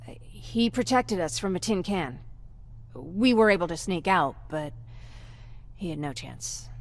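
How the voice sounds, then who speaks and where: An actress playing a weary young female scavenger surviving a war in a video game, earnest in manner. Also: lowish voice. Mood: grieving. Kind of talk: video game cutscene acting.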